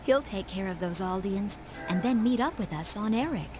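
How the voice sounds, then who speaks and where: A soothing mother, played by an actress, reassures while hiding worry in a video game cutscene. Her medium-pitched voice moves expressively, with music clearly behind it. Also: expressive, moderate pace, close to an everyday voice. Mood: reassuring, strained. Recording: game audio under music.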